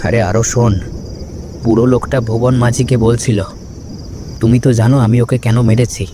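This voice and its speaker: A man's voice: medium pitch, little pitch movement, moderate pace, background noise, close to an everyday voice. A man playing a fearful village gossip in a ghost story, recounting rumours in an emphatic, conspiratorial way.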